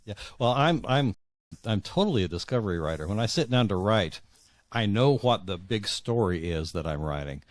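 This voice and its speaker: Deep-voiced man, halting and reflective. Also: expressive, no room sound. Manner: halting, repeats words while searching for phrasing. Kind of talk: reflective interview conversation.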